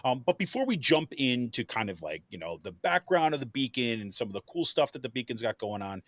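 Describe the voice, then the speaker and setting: A man's voice: medium pitch, big pitch swings, a little fast, fairly bright tone. A man hosting a podcast interview, introducing guests in a loose, hedging way with many "kind of"s. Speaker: podcast host.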